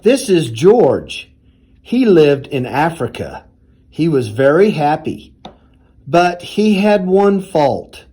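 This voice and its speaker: A man reading a children's storybook aloud in a deliberate, expressive storytelling manner. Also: a little high, slightly rough. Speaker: university athletics director reading aloud.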